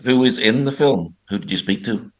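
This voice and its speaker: A man's voice: medium pitch, animated, normal speed. A man interviewing a guest, asking brief, direct questions.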